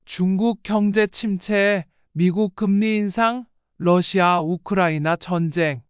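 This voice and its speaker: A woman's voice: mid-range voice, fairly even intonation, slow, clear, resonant tone, no room sound, lo-fi sound. A woman reading a scripted first-person life story in audiobook style. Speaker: narrator.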